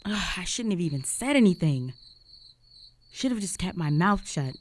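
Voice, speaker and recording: A woman's voice: fairly low pitch, sing-song, normal speed, slight background noise. A young woman playing a jealous, flustered roommate with a secret crush in an intimate romantic audio roleplay, speaking in a hesitant, conversational way. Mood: dejected, resigned. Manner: conversational, hesitant, sighing, emotionally exposed.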